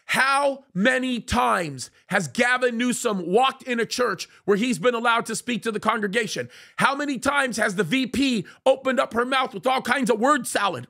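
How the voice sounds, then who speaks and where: A man's voice: extremely high pitch, vocal fry, sharp tone. A man in his forties delivering indignant, emphatic commentary into a studio mic, reading quotes aloud and rebutting them. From United States. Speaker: pastor commentator. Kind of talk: fiery commentary. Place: small dark studio, leather chair. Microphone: studio mic on boom in front.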